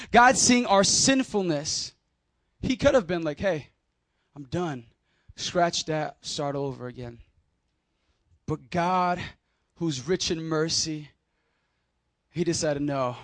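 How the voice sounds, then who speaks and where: Man preacher, high voice, casual and emphatic, close dry sound, calls for repeat-after-me. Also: fairly bright tone, no echo. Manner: casual, emphatic preaching with slang and call-and-response. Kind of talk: sermon. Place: conference hall.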